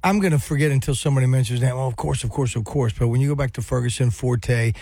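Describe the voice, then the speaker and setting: A man's voice: mid-range voice, quick pace, creaky, mellow, dry recording. A man in his sixties co-hosting a casual sports talk show, telling rambling nostalgic stories with many restarts. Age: 60s. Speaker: sports radio co-host.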